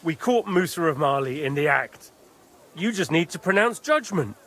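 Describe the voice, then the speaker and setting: An actor playing a proud, blustering medieval camp commander, haughty, defensive and emphatic, in a dramatic video game. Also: male voice, on the high side, animated, moderate pace, a bit bright.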